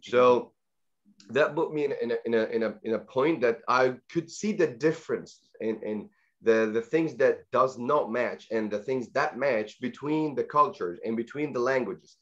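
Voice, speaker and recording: A man from Egypt with an Egyptian Arabic accent, a native Arabic speaker, teaching an online language lesson in a halting way, full of fillers and repeated words. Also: mid-range voice, fairly bright tone, muffled recording. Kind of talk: online training talk.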